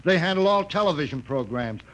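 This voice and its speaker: An actor playing an excitable, dismissive company boss in an old radio drama, emphatic and quick to bluster. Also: male voice, on the high side. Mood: blustering, exasperated. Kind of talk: 1950s radio drama acting. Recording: noisy vintage 1950 radio broadcast.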